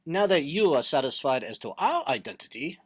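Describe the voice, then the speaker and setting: A man's voice: a little high, sing-song, fairly quick, husky. A man playing a shrewd, courteous Belgian detective with a French-speaking Belgian accent, formal and precise, in a radio mystery drama. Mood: formal, insistent.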